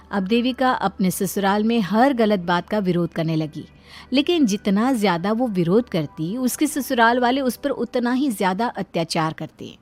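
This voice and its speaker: A woman narrator delivering scripted moral-story narration. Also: on the high side. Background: music.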